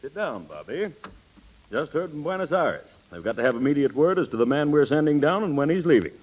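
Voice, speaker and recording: Brisk, animated older company boss. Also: male voice, on the high side, dull audio. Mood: brisk, musing. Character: brisk, fatherly older male company president. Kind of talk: 1930s radio drama acting.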